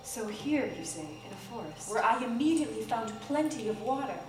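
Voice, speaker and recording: A woman reading a literary story aloud on stage in a theatrical, deliberate way, breaking it into measured phrases. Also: fairly high pitch, lively intonation. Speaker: live stage reader in an ensemble. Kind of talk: dramatic literary story reading, scripted narration. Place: live theatre stage, recorded from a distance. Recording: roomy, distant live theatre recording with noise.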